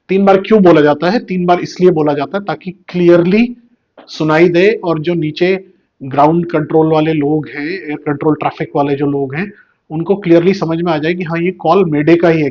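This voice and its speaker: Male explainer host, fairly high voice, teacherly delivery, slightly muffled recording. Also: a little mellow. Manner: didactic, asks and answers own questions, repeats key words. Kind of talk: informal news explainer.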